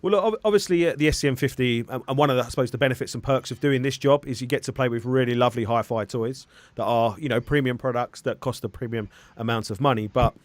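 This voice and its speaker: Quick-talking man hosting a casual interview. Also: medium pitch, dry recording. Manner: rambling, self-correcting phrasing. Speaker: interviewer and hi-fi review host. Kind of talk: casual live-stream interview.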